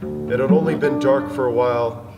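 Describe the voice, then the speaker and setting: A man's voice: medium pitch, steady tone, moderate pace. A man giving a solemn, dramatic narration of a literary story. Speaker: dramatic reader. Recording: distant, long reverb, music behind.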